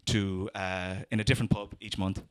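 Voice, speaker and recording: A man's voice: deep voice, level tone, moderate pace, smooth. A young Irish man doing a stand-up comedy set, talking in a sardonic, conversational way with lots of fillers and restarts.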